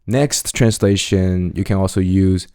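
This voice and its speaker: Deep, mellow-voiced young man teaching. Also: measured pace. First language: Mandarin Chinese. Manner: relaxed, repeats example phrases twice, chuckles lightly. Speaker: language teacher. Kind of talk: casual explanatory lesson.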